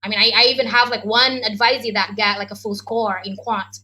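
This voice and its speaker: A woman's voice: medium pitch, fairly even intonation, fairly quick, pushed and bright, poor audio. An Indonesian woman, speaking English as a second language, answers questions as an education adviser in a chatty way full of ums and likes. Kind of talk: online Q&A session.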